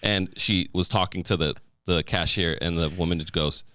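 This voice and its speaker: A man from Massachusetts chatting casually on a podcast, telling animated stories and repeating his phrases for emphasis. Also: sits low, moderate pace, no room sound, clean studio sound. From Massachusetts, USA.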